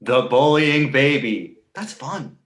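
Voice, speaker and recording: A man acts out a precocious baby boy from an animated comedy. His mid-pitched voice moves freely between mock innocence and dry sarcasm. The room around it rings with echo. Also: takes it slowly. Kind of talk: animated comedy pilot table read. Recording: echoey room.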